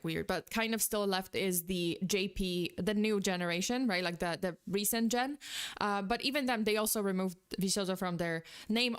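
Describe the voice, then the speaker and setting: A woman's voice: mid-range voice, smooth. A woman with a Polish accent giving casual, rambling commentary as a streamer, with lots of fillers and laughter. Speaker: VTuber commentator.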